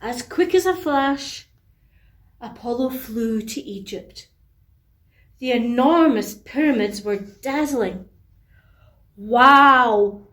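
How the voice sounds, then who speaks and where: A woman narrating a children's bedtime story in an expressive, deliberate way, with asides to young listeners. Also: on the high side. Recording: echoey, reverberant room.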